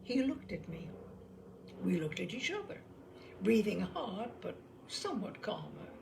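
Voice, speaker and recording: A woman reading a literary story aloud as an expressive narrator. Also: medium pitch, measured pace, creaky, noisy background. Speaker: storyteller, reader.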